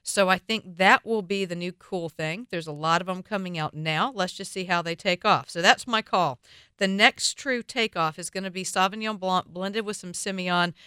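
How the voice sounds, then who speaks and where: Woman, Southern US accent, wine educator, chatty and confident, lowish pitch, bright forceful tone. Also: normal speed, smooth, no room sound, clean studio sound. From American South. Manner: lively, chatty, confident, playful asides. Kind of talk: solo talk to camera on wine trends.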